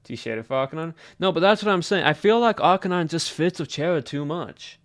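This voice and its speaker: A man's voice: a little high, expressive, brisk, no echo, clean studio sound. A man streaming live and chatting casually with viewers in a put-on Southern accent, musing aloud in a loose drawl. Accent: put-on American Southern. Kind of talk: casual livestream chat with viewers.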